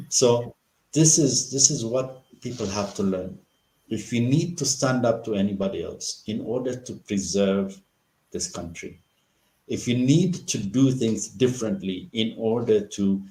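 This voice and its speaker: Impassioned middle-aged man, low voice in a roomy space. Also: a little mellow. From Ethiopia. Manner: impassioned, with rhetorical questions and many restarts. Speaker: interview guest. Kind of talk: political commentary in an interview. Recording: roomy echo.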